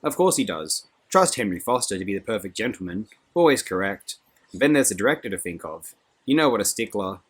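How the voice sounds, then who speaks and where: A man's voice: lowish voice, very animated, a little fast, slightly rough. A man reading a novel aloud, voicing a sensible young woman who scolds her friend in an insistent, gossiping manner.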